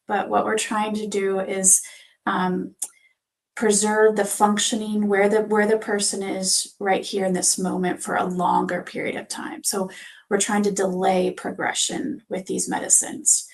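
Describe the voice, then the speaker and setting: A woman giving explanatory interview answers as a clinician guest, in a measured, careful way. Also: medium pitch, vocal fry, poor audio. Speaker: physician assistant guest.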